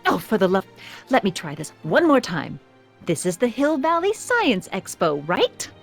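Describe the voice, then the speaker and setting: A woman's voice: medium pitch, sing-song, unhurried, vocal fry, exaggerated voice. An actress playing a prim, bossy and zealous young woman in a video game, with precise, haughty and emphatic delivery. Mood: indignant. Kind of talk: animated video game voice acting. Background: music.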